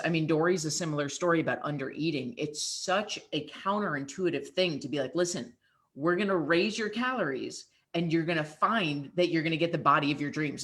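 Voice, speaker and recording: A woman with a low-pitched voice talks as a fitness coach. She is reassuring and easygoing and laughs readily while she chats with a former client. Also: fairly bright tone. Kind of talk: conversational interview.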